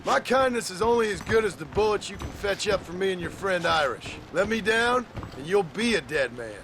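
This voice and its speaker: An actor playing a tough, terse gunslinger with a gruff, blunt manner in a Western video game. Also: male voice, sits high, lively intonation, a little fast, heavy background noise. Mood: threatening. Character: tough, terse, hard-bitten gunslinger of the Old West. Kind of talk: video game cutscene acting.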